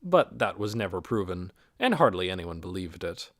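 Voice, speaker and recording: A man reading a story aloud as an audiobook narrator, in an expressive, measured way. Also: sits low, no room sound, clean studio sound. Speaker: audiobook reader.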